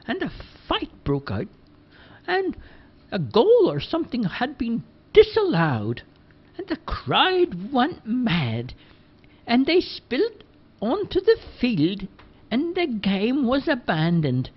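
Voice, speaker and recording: A man voicing a nosy, fussy older woman from a village, with a slow, dramatic way of gossiping. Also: very high-pitched, huge pitch swings, husky, pitched above the performer's own voice, full character voice. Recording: dull lo-fi recording.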